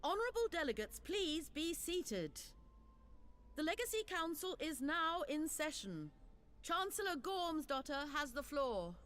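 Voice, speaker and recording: A woman's voice: high voice, very animated, a little slow, a bit bright, heavily acted. A woman playing a stern, dignified presider over a fantasy council in a video game, formal and commanding.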